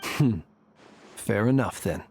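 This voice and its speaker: A wry, animated older lighthouse keeper. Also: male voice, fairly low pitch, a little hiss or hum. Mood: wry, guarded. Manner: wry, measured. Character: wry, world-weary older lighthouse keeper, guarded but friendly. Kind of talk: video game acting.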